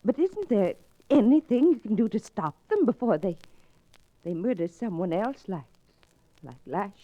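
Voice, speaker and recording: A woman's voice: medium pitch, sing-song, normal speed, mellow, highs cut off. A woman playing an earnest, spirited frontier storekeeper in an old-time radio Western, with emotional delivery. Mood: anxious, earnest.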